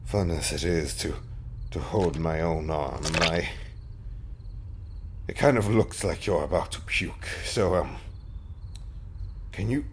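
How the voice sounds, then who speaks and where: Gentle zombie husband, played by a man, very low voice, slow and stammering, softly formal, intimate audio drama, faint insect noise behind. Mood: awkward, wry. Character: undead zombie husband, gentle, apologetic, tenderly devoted.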